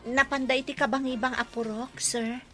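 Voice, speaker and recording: A woman's voice: high-pitched, steady tone, normal speed, over music, natural voice. A woman playing a respectful young village daughter in an Ilocano radio drama. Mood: dutiful, polite.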